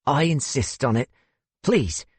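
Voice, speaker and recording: Theatrical older South African gentleman, high-pitched. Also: sing-song, slow, slightly rough, no room sound, full character voice. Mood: eager. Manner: theatrical, hesitant, old-fashioned politeness. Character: genteel older South African man, theatrical, wistful, courteous host.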